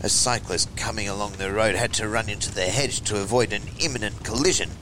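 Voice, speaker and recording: A man hosting a horror show, reading tales and listener letters in a theatrical, deliberate way. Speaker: host and reader of a horror anthology show. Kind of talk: expressive storytelling and letter reading. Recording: low rumbling noise behind the voice.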